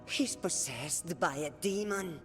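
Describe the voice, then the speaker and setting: An older woman playing a proud, stern elderly matriarch with an imperious, measured delivery in a video game. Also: fairly low pitch, expressive, faint music behind. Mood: stern, accusing. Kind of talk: dramatic game dialogue.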